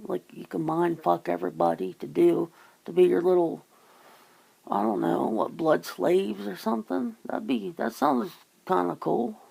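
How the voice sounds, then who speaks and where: A woman speaks in a very gravelly, fry-laden voice at an unhurried pace. She muses and rambles through a casual ASMR chat, loose and informal in her phrasing. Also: lowish voice, dark tone, rough recording. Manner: musing, rambling, swears casually. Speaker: ASMR host.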